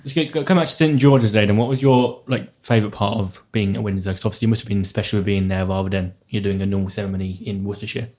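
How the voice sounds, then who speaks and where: A man's voice: on the low side, racing, few pauses, warm tone. A man on a casual podcast, teasing the others and cracking jokes. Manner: teasing, jokey. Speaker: podcast co-host. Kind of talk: casual group chat.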